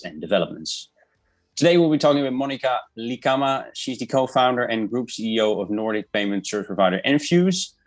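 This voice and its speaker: A man with a fairly low voice hosts an interview show. He reads a formal, prepared introduction of his guest. Then he moves on to plain interview questions. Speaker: podcast host.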